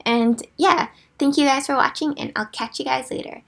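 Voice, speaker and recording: Raspy young woman, chatty and self-deprecating. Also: high voice, fairly even intonation, moderate pace, fairly bright tone. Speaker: gaming YouTuber. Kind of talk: casual voice-over of a drawing timelapse.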